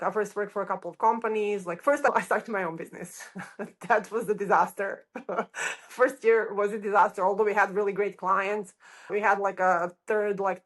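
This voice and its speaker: A woman's voice: mid-range voice, fairly bright tone. A middle-aged woman from Serbia with a Serbian accent, telling her story as an interview guest in an informal, chatty way peppered with "like". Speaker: architect, podcast guest.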